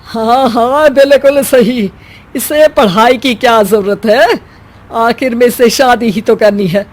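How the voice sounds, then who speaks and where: Someone doing a nasty old granny in a family story, slow dragged out taunts, super melodramatic scolding. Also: medium pitch, natural voice. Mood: scornful. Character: spiteful, scolding old grandmother obsessed with grandsons.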